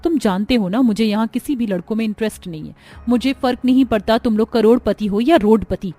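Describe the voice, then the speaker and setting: A woman's voice: a little high, a little fast, warm tone, no echo, lightly acted. A woman voicing an earnest, outspoken young female student in a cartoon story. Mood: firm.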